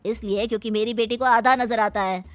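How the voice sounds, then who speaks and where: A woman's voice: on the high side, steady tone, a little fast, no room sound, lightly acted. A woman voicing a sly, smug middle-aged father in a cartoon, talking in a taunting, self-assured way. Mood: threatening. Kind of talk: scripted Hindi cartoon dialogue. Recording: music behind the voice.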